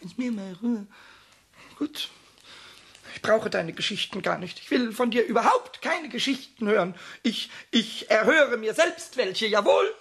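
A man voicing a bored, cheeky little male kobold in a radio play, with a squeaky, stammering, whiny voice. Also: expressive, unhurried, creaky, a bit bright, heavily acted. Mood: huffy.

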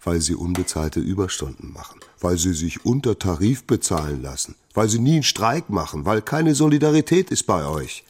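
An actor playing a combative, sardonic middle-aged socialist worker and father in a radio drama, with a rough voice. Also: low-pitched, sing-song, normal speed, few pauses, a little mellow, full character voice. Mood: scornful, preaching. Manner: sardonic, needling. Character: mocking working-class father, combative union-minded socialist. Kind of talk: German radio drama acting.

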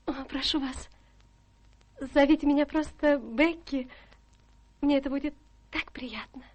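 Sweet young would-be governess, ingratiating, high voice, breathy and sentimental, radio drama. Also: female voice, unhurried. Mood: enraptured. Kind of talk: theatrical radio play acting.

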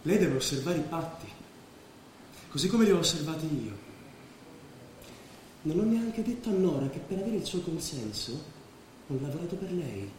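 An actor playing a stubborn, mercenary young fiancé with an insistent, theatrical manner. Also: male voice, fairly high pitch, big pitch swings, moderate pace, pauses often, a little mellow. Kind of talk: staged radio drama acting in Italian. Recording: very echoey, distant stage recording, faint noise.

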